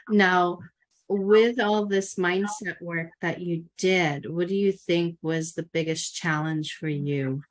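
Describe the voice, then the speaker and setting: A woman hosting an interview, talking in a thoughtful, coaching, affirming way. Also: on the low side. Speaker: podcast host. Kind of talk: interview conversation.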